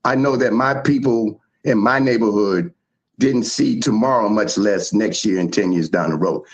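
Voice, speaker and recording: A man from the South Bronx, telling history in animated, emphatic casual chat as a show host. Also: on the low side, normal speed, muffled recording. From South Bronx, New York.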